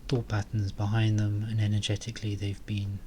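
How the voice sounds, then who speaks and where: A man softly leading a guided meditation, calm and unhurried, with long pauses between phrases. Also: on the low side, a little hiss or hum.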